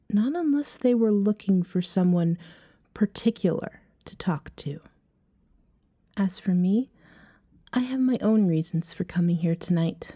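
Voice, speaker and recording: A self-assured vampire woman, played by a woman, flirts in an intimate ASMR roleplay. Her voice is soft and mellow, recorded dry and close. She takes her time, teasing and coaxing with breathy asides. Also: on the low side, no room sound. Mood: coolly coaxing. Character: flirty, self-assured female vampire chatting up a stranger at a bar. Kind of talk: ASMR roleplay, acted one-sided dialogue.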